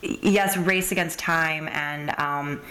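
A woman's voice: low voice, fairly even intonation, slow, background noise. A woman interviewing a guest on a podcast in a casual, chatty way. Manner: chatty, informal.

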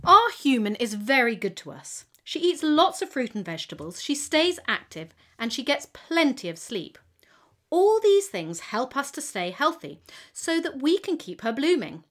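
A woman's voice: sits high, very animated, normal speed, a bit bright. A woman playing a friendly, proud T cell gardener who explains things patiently in a children's educational audio story. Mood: reassuring, proud. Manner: explanatory, teacherly, encouraging.

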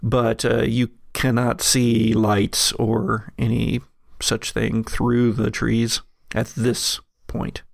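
A game master narrating a tabletop roleplaying game, explaining the scene in a halting, careful way. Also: medium pitch, completely flat delivery, vocal fry, dry recording, clean studio sound.

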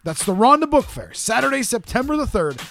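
A fairly high-voiced man hosts his podcast in a studio with a chatty, playful delivery. Faint music plays beneath his talk. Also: big pitch swings, a little fast, gravelly, a bit bright. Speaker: podcast host. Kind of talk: casual podcast interview.

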